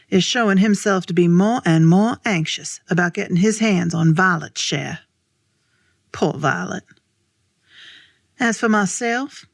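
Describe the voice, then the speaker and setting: A wistful woman narrator in a stage drama. Her voice is low and husky, and she speaks very slowly and deliberately, musing on the past. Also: no room sound. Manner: deliberate, pensive. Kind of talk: slow reflective theatrical narration.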